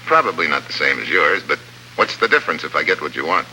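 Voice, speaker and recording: An actor playing a tough, cool American undercover agent in 1950s radio drama style, clipped and wry. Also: male voice, very deep voice, sing-song, quick pace, sharp tone, full character voice, low-quality recording. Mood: cool, controlled. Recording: old radio recording, faint noise.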